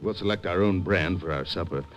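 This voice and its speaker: An actor playing a jovial, sinister office rival who chuckles with false friendliness, in a 1950s radio drama. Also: male voice, deep voice, a little fast, close to an everyday voice. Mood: falsely jovial. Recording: old radio broadcast with music.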